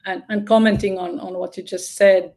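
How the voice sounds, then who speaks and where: A woman's voice: medium pitch, steady tone, normal speed, darkish tone. A woman guest on a video call, speaking as a with supportive affirmations. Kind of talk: casual interview conversation. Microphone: video call mic.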